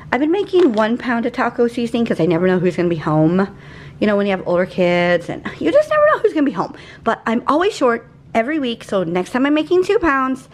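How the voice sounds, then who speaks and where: Woman in her 40s, chatty, rambling, lively high voice, home kitchen, camera mic. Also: a little hiss or hum. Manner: chatty, rambling, easily sidetracked. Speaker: vlogger. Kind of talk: casual grocery haul chat.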